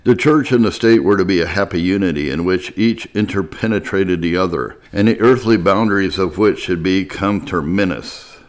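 Male narrator, lower register, formal and measured delivery for scripted historical audiobook reading.

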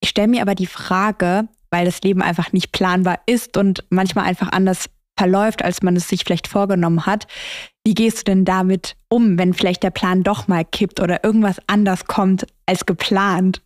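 A woman's voice: medium pitch, fairly even intonation, normal speed, dry recording, studio quality. A woman interviewing in a conversational way as a podcast host.

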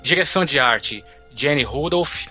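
Guy hosting a movie podcast, bright tone, reads credits, asks the panel stuff. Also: mid-range voice, expressive, unhurried, soft background music. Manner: steers the talk, reads credits, asks questions. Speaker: podcast host. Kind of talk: casual podcast discussion.

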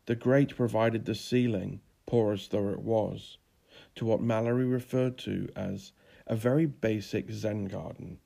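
A man's voice: fairly low pitch, fairly even intonation, unhurried, creaky, mellow. A man reading scripted literary fiction as a narrator.

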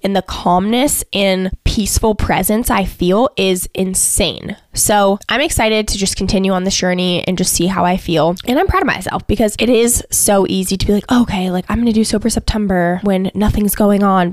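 A woman's voice: medium pitch, steady tone, brisk, no echo, studio quality. A woman in her late twenties talking casually and candidly on her solo podcast, full of "like" fillers. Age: late 20s. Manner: chatty, frequent "like" fillers, candid and intense. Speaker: podcast host.

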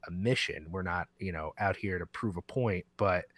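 Low-pitched guy chatting on a podcast, loose and rambly, really dry close sound. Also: normal speed, a bit bright, no echo. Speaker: podcast host being interviewed as guest. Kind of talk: casual conversational interview talk.

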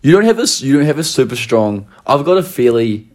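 A man's voice: mid-range voice, big pitch swings, fast talker, a little mellow. A young man with a New Zealand accent and a slight Australian twang, chatting casually as a podcast co-host in a sweary, jokey storytelling way. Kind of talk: casual chat.

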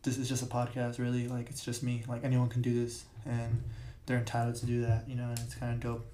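Raspy young man rambling on his podcast. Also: medium pitch, monotone, a little fast, warm tone, slight background noise. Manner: rambling, filler-heavy, self-correcting. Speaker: podcast host. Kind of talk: casual solo podcast talk. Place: large echoey room. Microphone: podcast mic.

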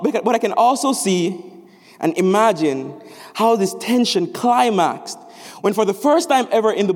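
A young man from Jamaica, a student preacher, giving an animated chapel sermon with rising, emphatic phrases. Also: high-pitched. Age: young adult. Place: large chapel. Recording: echoey chapel hall.

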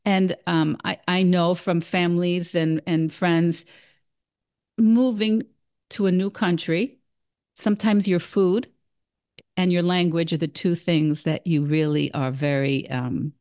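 Brief: low-pitched woman, podcast host, halting restarts, generous compliments. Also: fairly even intonation, a little mellow, smooth. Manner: hesitant, with frequent restarts and effusive praise. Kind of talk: interview podcast.

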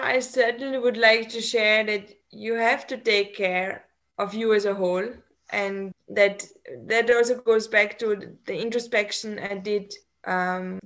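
A woman's voice: mid-range voice, a bit bright. A woman whose first language is German, a researcher guest, speaking earnestly with restarts and non-native phrasing. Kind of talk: casual interview chat.

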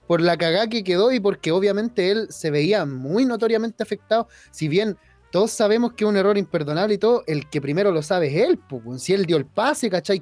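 A young Chilean man talks football with friends, swearing freely and telling it with animated asides. His voice sits close and dry, with a little music faintly underneath. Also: sits high, dry recording. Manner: slangy, swearing, animated storytelling. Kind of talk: casual football chat.

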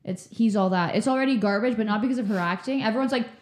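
Woman co-host, raspy, animated ranting, roomy echoey sound. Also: medium pitch, quick pace. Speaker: podcast co-host and tech person. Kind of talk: casual chat.

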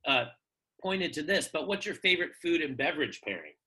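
A podcast host asking questions in a playful, laughing, animated way. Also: medium pitch, brisk. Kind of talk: casual conversational interview.

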